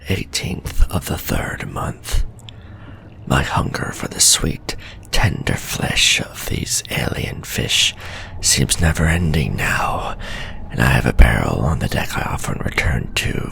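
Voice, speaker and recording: Man playing a deranged male scholar, hushed gloating whisper, slow, sharp edge, ASMR horror. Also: rumbling low, sing-song, a little hiss or hum, heavily acted. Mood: fevered reverence. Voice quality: gravelly whisper. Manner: hushed, fevered, gloating journal reading. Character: obsessed male scholar sliding into madness.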